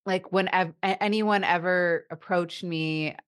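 A woman hosting a podcast interview, speaking with a stutter that brings repeated sounds and brief blocks. Her voice sits low and her delivery is unhurried and reflective. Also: steady tone, smooth. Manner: reflective, thoughtful. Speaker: podcast host. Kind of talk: conversational interview.

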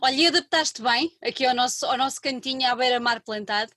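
A woman hosting an interview, asking questions with lively exclamations. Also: high voice, fast talker. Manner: warmly enthusiastic, frequent exclamations and laughter. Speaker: interview host. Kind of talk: casual interview conversation.

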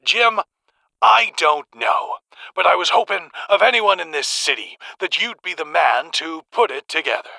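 Casting: male actor as agitated barfly phoning late-night radio, very bright gravelly voice, slangy, halting, audio drama. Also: sits high, expressive, no room sound, exaggerated voice, poor audio. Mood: agitated, baffled. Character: rattled, crude barfly calling a radio show.

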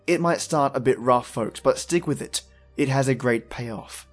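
A man's voice: medium pitch, normal speed. Male spooky podcast host over faint music. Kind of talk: scripted spooky podcast introduction.

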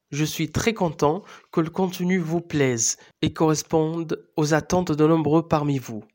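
Homme posé, animateur de podcast. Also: male voice, a little high, a little mellow. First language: Arabic. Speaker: podcast host and Arabic teacher. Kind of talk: scripted podcast narration.

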